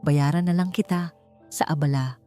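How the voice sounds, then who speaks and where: A woman voicing a sweet, determined young lady with a gentle, friendly manner in an audio love story. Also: low-pitched, moderate pace, dry recording, soft background music, natural voice. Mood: grateful, admiring.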